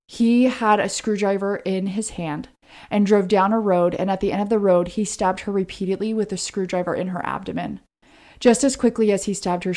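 A woman with a warm, rounded, relaxed tone co-hosts a true crime podcast. She lays out the case conversationally, as a story, explaining each step earnestly. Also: mid-range voice.

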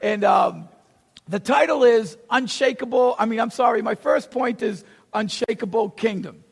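A man with a Boston accent preaching a sermon, telling stories with gasps and acted-out lines and shouting for emphasis. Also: very high voice, moderate pace, gravelly, husky. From Boston, USA. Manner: animated storyteller, acts out gasps and quotes, shouts for emphasis. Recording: long hall reverb.

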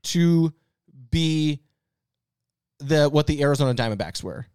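A man's voice: a little high, expressive, a little slow, darkish tone, clear, resonant tone, no echo, studio quality. A man co-hosting a casual sports banter podcast, talking in a rambling, emphatic, slangy way. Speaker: podcast co-host.

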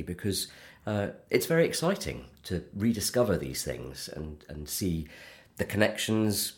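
A middle-aged Church of England vicar hosts a podcast, explaining ancient rites in an earnest, articulate voice. His voice sits somewhat low for a man. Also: darkish tone. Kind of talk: conversational theological discussion.

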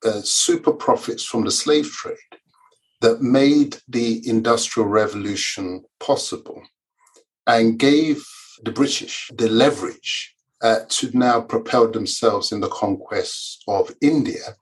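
A Nigerian-born man, an author and historian, explaining history as a podcast guest in a measured, lecturing way. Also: mid-range voice, slightly rough, rough recording. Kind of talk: conversational explanation.

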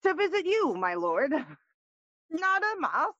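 High, bright, fawning young courtier. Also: female voice, very animated, slow, no room sound, lo-fi sound, full character voice. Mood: evasive. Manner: exaggerated, obsequious. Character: fawning, chirpy young male courtier, old school friend. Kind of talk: classical Shakespearean stage acting for audio play.

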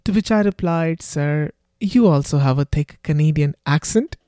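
A man's voice: fairly high pitch, normal speed, dark tone, dry recording, studio quality. A young man with an Indian accent, hosting a podcast and reading a scripted intro in a wry, conversational way. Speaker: podcast host.

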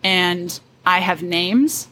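A woman talking as a wedding photographer guest in a casual interview, animated and confident, laughing often. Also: fairly low pitch, extremely slow, a little hiss or hum.

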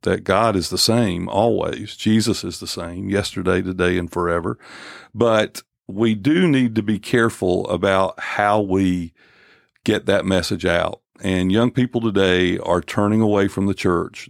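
A man in his fifties hosts a church podcast in an earnest, unhurried way. His voice sits very low with a gravelly edge. The sound is close and dry. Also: dry recording. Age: 50s. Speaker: podcast host. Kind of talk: casual church podcast talk.

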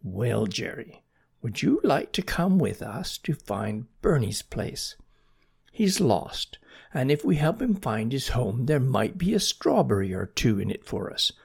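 Storyteller as a patient, husky motherly snail. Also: medium pitch, sing-song, measured pace, heavily acted. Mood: crooning. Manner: soft, reassuring, gently commanding. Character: calm, motherly, patient, decisive female snail.

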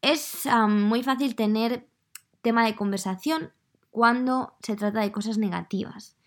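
A woman's voice: a little high, unhurried. Chatty woman with a Madrid accent. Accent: Madrid Spanish, slightly softened. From Madrid, Spain. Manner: chatty, conversational, addresses listeners directly. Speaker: podcast host. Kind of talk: casual solo podcast monologue.

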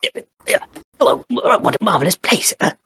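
A man playing a husky bird-folk cleric. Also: high voice, big pitch swings, normal speed, fairly bright tone, no echo, clean studio sound, full character voice. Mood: flustered. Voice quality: husky, raspy. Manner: stammering, hesitant, then solemn when he preaches. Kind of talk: tabletop character interview.

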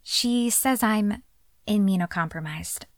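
An actress playing an eager, sheltered, excitable teenage girl starved of company in an audio drama. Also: mid-range voice, takes it slowly, no echo, clean studio sound, close to an everyday voice. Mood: wistful.

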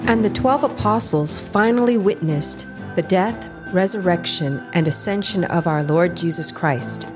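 A woman's voice: lowish voice, measured pace, music in the background. A woman narrating a scripted religious story in a storytelling style.

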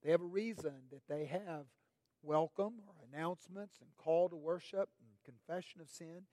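A middle-aged man preaching a sermon as pastor, his voice raspy this morning, speaking in a measured, deliberate way and lifting his voice on questions. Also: fairly high pitch, dark tone, dry recording.

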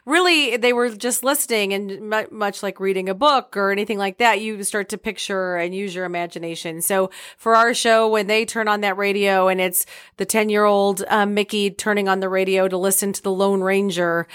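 A middle-aged woman speaks as a guest in a casual interview. Her voice sits at an average pitch, and she talks like a theater director explaining things in conversation. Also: fairly even intonation, normal speed, fairly bright tone, clean tone.

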